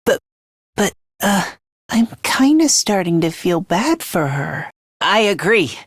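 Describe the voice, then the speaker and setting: A man's voice: high-pitched, big pitch swings, slow, a bit bright, no echo, studio quality, heavily acted. A man voicing a timid, self-loathing young male academy fighter in a video game, hesitant and prone to stammering. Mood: hesitant, meek.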